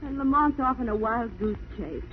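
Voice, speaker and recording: A woman's voice: a little high, animated, normal speed, narrow, tinny audio, lightly acted. An actress playing a sleuth's anxious, eager lady companion in a 1940s radio drama. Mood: worried, eager. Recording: thin, scratchy 1940s radio recording.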